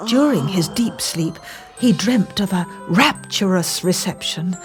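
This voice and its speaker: A woman reading a children's fairy tale as scripted narration, with deliberate, theatrical phrasing. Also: on the low side, animated, music in the background. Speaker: storyteller.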